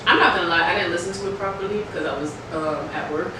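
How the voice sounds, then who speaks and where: A woman's voice: fairly low pitch, quick pace. Slangy American woman interviewing, echoey and distant. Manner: slangy, informal. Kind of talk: casual interview chat. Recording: echoey room, distant mic, noisy background.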